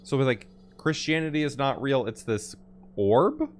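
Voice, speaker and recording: A man giving casual reaction commentary as he plays a video game, puzzled and thinking out loud. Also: medium pitch, lively intonation, unhurried, slight background noise. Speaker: gamer, channel host. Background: game music and dialogue.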